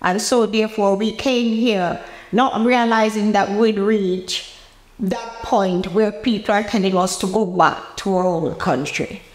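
A woman's voice: medium pitch, measured pace. A woman in her eighties from Jamaica, with a Jamaican accent, recalling personal memories in a seated interview recorded in a roomy space. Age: 80s. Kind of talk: personal reminiscence to camera. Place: indoor room with bare walls, seated interview. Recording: roomy, echoing indoor interview.

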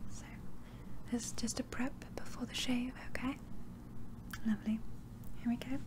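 A woman softly whispering an ASMR roleplay as a barber, with a breathy, gentle, unhurried and reassuring delivery. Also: fairly high pitch, flat delivery. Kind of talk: soft-spoken ASMR roleplay.

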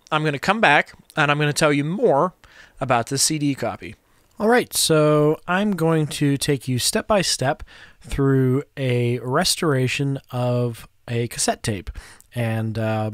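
A man talks through a how-to. His voice is smooth and a little high, recorded close and dry. He explains each step casually and without hurry, hesitating as he goes. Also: no echo. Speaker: audio restorer presenting a how-to. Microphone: close microphone in front of him.

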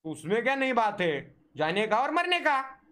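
A man's voice: very high-pitched, big pitch swings, a little slow, sharp tone, slight background noise. A young man giving casual live reaction commentary as a gaming streamer, with teasing banter. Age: young adult.

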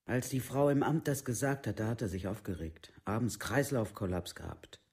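Low-voiced, plain-spoken, worried speaker. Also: male voice, lively intonation, moderate pace, a little mellow. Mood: shaken. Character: worried wife of a jobless man, counting money. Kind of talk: documentary radio play monologue.

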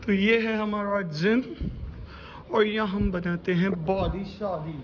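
A man's voice: high-pitched, fairly even intonation, slow, in a large hall, crowd noise. A young man, chatting playfully as he vlogs a hotel tour on a handheld phone. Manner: chatty, playful, joking with his friend. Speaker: vlogger. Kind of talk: casual vlog narration. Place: hotel corridors and gym, indoors. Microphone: phone camera held by hand. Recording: dull phone audio with noisy background.

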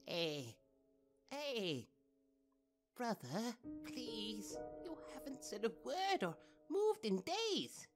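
A man's voice: extremely high pitch, very animated, drawn-out words, music in the background, heavily acted. A man voicing an earnest, tender skeleton in a comic dub, a protective brother with an imploring, emotional delivery. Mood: gentle pleading.

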